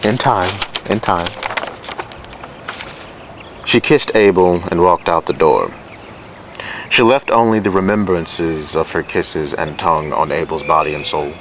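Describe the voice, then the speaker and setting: A man's voice: low voice, normal speed. A man reading literary fiction aloud in an amateur recording. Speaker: reader of a novella excerpt. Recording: amateur video with loud background noise.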